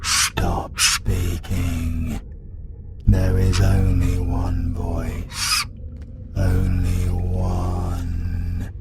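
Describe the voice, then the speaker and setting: British man doing a gravelly ancient demon god. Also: low voice, over loud music, exaggerated voice. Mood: menacing. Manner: slow, cold, commanding. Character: ancient male demon god possessing a hunter, menacing. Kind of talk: fantasy audio roleplay. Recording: loud ambient noise behind.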